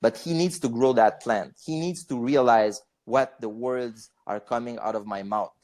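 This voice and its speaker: A man's voice: mid-range voice, moderate pace, darkish tone. A young man born in Bosnia, guest on a podcast, chatting casually in a rambling way, with frequent fillers, restarts and swearing. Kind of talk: casual interview chat.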